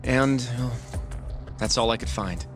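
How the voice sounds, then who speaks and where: An actor playing an earnest, polite young junior lawyer in an English game dub. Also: male voice, mid-range voice, a little slow, over music, natural voice. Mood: earnest, informative. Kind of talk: English dub cutscene acting.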